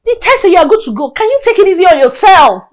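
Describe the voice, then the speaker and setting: An actress playing a blunt, teasing friend who argues back. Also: female voice, extremely high pitch, sing-song, fast.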